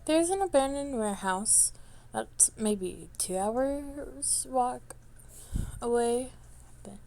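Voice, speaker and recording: A woman reading a story aloud as an amateur narrator, with a halting delivery that stumbles over words and goes back to reread them. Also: on the high side, a little mellow. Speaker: amateur fan fiction reader.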